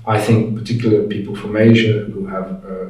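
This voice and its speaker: A man from France answering interview questions as a language teacher, talking in a halting way as he searches for words. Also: lowish voice, level tone, mellow. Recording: echoey room, voice from far off the mic.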